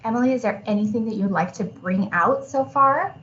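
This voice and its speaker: A woman's voice: on the high side, a little fast, a bit bright. A woman teacher presenting a webinar in a conversational, encouraging and reassuring way. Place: remote online video call. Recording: dull online webinar audio with background noise.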